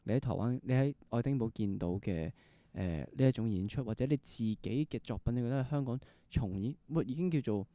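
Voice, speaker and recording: A man's voice: medium pitch, fairly quick, warm tone, no room sound. A man with a Hong Kong Cantonese accent hosting a conversational interview, building up long, thoughtful questions. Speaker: interview host. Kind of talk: conversational theatre podcast interview.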